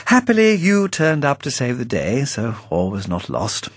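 A man's voice: mid-range voice, huge pitch swings, normal speed, husky, dry recording, studio quality, pitched above the performer's own voice. A man voicing a chipper, suave English spymaster in a storybook, with jaunty upper-class patter and drawled endearments. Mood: affable, confiding. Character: chipper, suave British spymaster, breezy and affable.

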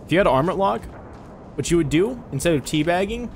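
A man giving loud, crude, excitable commentary while he plays a video game, voice going hoarse. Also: high-pitched, brisk, slight background noise. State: hoarse, losing his voice. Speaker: gamer streaming gameplay. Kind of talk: casual gameplay commentary. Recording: game music and sounds behind.

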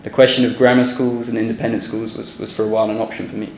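A young man, a former student, giving a measured, sincere speech from a podium on a school stage, with some hesitant restarts. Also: fairly low pitch, little pitch movement. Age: young adult. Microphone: podium microphone. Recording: echoey hall, faint background noise.

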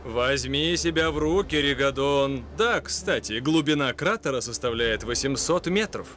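An actor playing a courteous, unflappable lion explorer in a cartoon, speaking in a measured, formal way. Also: male voice, medium pitch, animated, a bit bright, close to an everyday voice. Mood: calm, instructive. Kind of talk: cartoon dub. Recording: music behind the voice.

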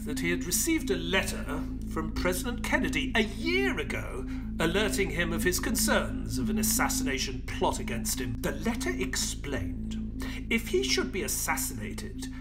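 An actor playing an earnest, puzzled gentleman sidekick in an audio play, with a polite, hesitant manner. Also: fairly high pitch, poor audio. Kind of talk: audio play acting and storytelling.

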